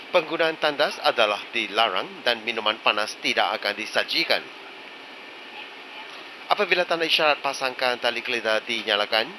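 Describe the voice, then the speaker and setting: A man reading scripted cabin crew announcements in a formal, measured manner. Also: mid-range voice. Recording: loud aircraft cabin background noise.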